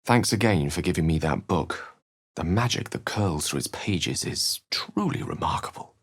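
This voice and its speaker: A man's voice: sits low, animated, moderate pace, husky, dry recording, clean studio sound, exaggerated voice. A man voicing an eloquent, bookish wizard in a fantasy video game, wry and courteous, with articulate, dramatic phrasing. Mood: wry, musing. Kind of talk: theatrical fantasy game dialogue and storybook-like narration.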